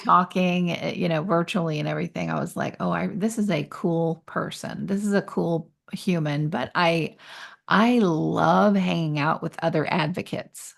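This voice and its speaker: A woman with a lowish, gravelly voice hosts a casual interview chat. She talks in a loose, chatty way and drops quick asides. She laughs easily between thoughts. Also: animated. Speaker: podcast host.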